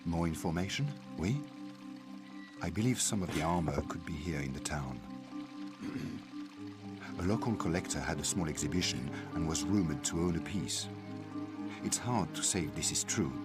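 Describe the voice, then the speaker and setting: An actor playing an earnest, worried French archaeologist ally in a video game, with a French accent and a grave, deliberate delivery. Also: male voice, low-pitched, close to an everyday voice. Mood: quiet, cautioning. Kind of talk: video game cutscene acting. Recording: music behind dialogue.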